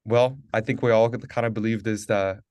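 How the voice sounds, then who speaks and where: A man's voice: fairly low pitch, steady tone, normal speed, darkish tone, no echo. A man from Brazil in his thirties, a Portuguese native speaker, talking about his career as a guest on a video call, upbeat and earnest with lots of "like" and "uh". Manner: upbeat, earnest, many fillers like "like" and "uh". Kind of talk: conversational interview, webinar.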